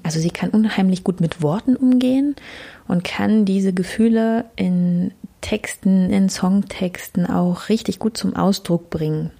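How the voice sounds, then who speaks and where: Woman talking fast in a voice memo about her friend, warm dark voice. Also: mid-range voice, gravelly. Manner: quick, chatty. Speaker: friend sending a voice message. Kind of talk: casual voice message.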